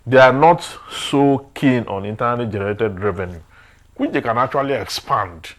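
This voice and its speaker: Nigerian male panellist, medium pitch, opinionated and emphatic, discussion style with repetition for stress. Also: normal speed. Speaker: panel discussant on current affairs.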